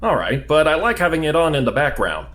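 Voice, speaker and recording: Male rookie agent played by a man, audio drama. Higher-set, very animated, questioning, flustered, conversational. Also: quick pace. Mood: defensive, bantering. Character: talkative, curious, exasperated new male government agent.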